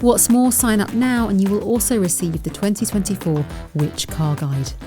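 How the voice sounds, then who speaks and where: Mellow woman reading an advert. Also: mid-range voice, moderate pace, faint music behind. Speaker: advert voice-over. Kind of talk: scripted promotional read.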